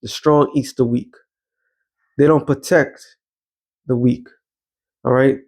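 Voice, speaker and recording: A man's voice: mid-range voice, fairly even intonation, takes it slowly, darkish tone. A man, giving blunt, profane commentary in a street-preacher cadence and reading out scripture.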